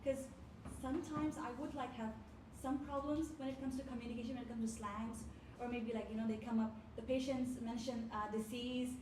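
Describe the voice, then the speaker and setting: A woman's voice: fairly high pitch, fairly even intonation, fairly quick, few pauses. A woman, a nurse, speaking thoughtfully as a panelist at a community forum. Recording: distant mic in echoey noisy hall.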